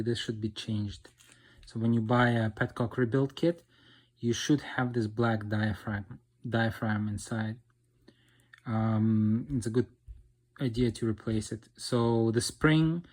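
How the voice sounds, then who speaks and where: Man, mid-range pitch, informal DIY instructor, step-by-step explanatory delivery. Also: darkish tone. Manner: explanatory, checks in with "okay" and "right". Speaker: DIY motorcycle repair instructor. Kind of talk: informal hands-on tutorial narration.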